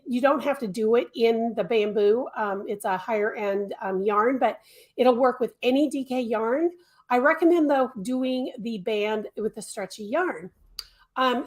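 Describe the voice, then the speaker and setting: A woman's voice: on the high side, unhurried. A middle-aged woman hosting a casual crochet livestream, losing her voice and talking with many ums and restarts.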